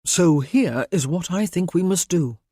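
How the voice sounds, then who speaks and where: A man voicing a courteous, composed gentleman lord in a storybook reading. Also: medium pitch, lively intonation, unhurried, husky, no echo, studio quality. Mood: calm, measured.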